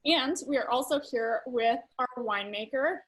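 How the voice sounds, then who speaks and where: A young woman hosts a virtual wine tasting, friendly and chatty. Her voice sits a touch high, and she talks with easy fillers. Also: normal speed, a bit bright. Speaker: host.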